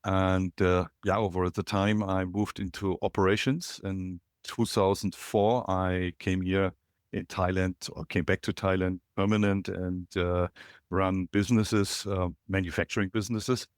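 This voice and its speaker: A middle-aged man from Germany with a German accent, a podcast guest calling in remotely and telling his story in a reflective, halting way with many fillers. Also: sits low, little pitch movement, clear, resonant tone, no echo, studio quality. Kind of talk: casual interview, storytelling. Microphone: remote call.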